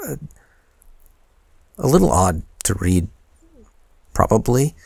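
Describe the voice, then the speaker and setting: Man acting a gravelly, hesitant young boyfriend. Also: lowish voice, very animated, dry recording, clean studio sound, heavily acted. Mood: flustered, reluctant. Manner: hesitant, halting, soft-spoken confiding. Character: shy, flustered young man confiding in his crush. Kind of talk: intimate boyfriend roleplay audio.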